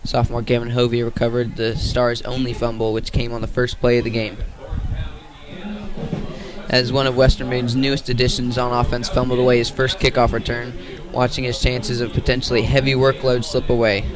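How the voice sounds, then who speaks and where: An American man giving radio play-by-play sports commentary in a measured announcing manner. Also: mid-range voice, completely flat delivery, a crowd in the background, poor audio.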